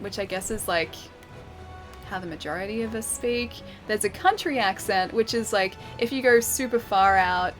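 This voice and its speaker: A woman's voice: medium pitch, a bit bright. Chatty Australian woman streaming a game. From Western Australia. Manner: chatty, laughs often, reads and answers chat. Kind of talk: casual gameplay chat with viewers.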